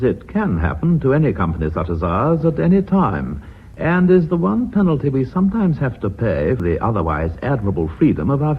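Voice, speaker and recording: An actor playing an older, titled company chairman in a radio drama, speaking in a measured, formal, orotund way. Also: medium pitch, slightly rough. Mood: grave, explanatory. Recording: dull, muffled radio recording.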